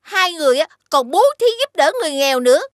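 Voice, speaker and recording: Snobbish, suspicious fairy-tale wife: piercingly high, very animated, disdainful. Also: female voice, fast, dry recording, clean studio sound. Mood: accusing. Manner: snooty, scornful, exaggerated. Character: haughty, suspicious, greedy rich sister-in-law.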